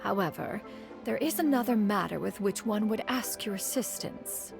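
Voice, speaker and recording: A woman's voice: medium pitch, animated, moderate pace, gravelly, over music. A woman playing a proud, ancient female immortal in a video game, speaking in a lofty, archaic, formal manner. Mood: dignified, haughty. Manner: haughty, archaic, formal, calls herself "one".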